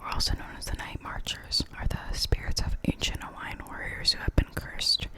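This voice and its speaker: An ASMR storyteller reading spooky local legends in a soft, unvoiced whisper, now and then stumbling and correcting themself. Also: normal speed. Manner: soft unvoiced whisper throughout, with small slips and self-corrections.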